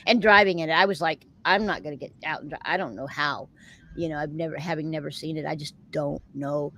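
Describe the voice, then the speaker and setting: A woman's voice: sits low, steady tone, a little fast, a bit bright, slight background noise. A middle-aged woman with a Texan accent, hosting a casual chat with viewers in a rambling, chatty way and laughing often. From Texas. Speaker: host of a live chat show.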